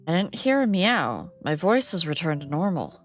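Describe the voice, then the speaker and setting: A woman's voice: fairly low pitch, very animated, normal speed, faint music behind. A woman streamer reading a game aloud and reacting with playful, giggly commentary.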